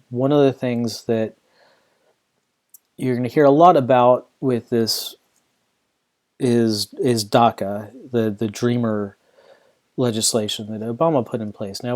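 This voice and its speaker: A man talking on his own podcast, thinking aloud as he goes. He circles back and restarts his sentences, leaning on "you know" as he feels his way toward each point. His voice sits at an ordinary middle pitch for a man. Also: a little mellow. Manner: rambling, many restarts and "you know" fillers. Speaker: podcast host. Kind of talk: casual solo podcast commentary.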